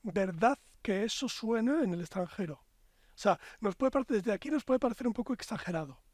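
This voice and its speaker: A Spanish man with a fairly high voice who spins long, reflective arguments in a relaxed podcast chat. His voice sits close and dry, with hardly any room sound. He strings his thoughts together in flowing, expansive sentences. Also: normal speed, dry recording. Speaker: podcast guest. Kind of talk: casual podcast conversation.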